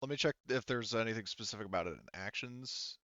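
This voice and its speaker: A man with a clear, forward voice runs a tabletop game. He explains rules casually, pausing as he checks them. The sound is close and dry, a little muffled like voice chat. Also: on the low side, sharp tone, no room sound. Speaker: game master of a tabletop role-playing game.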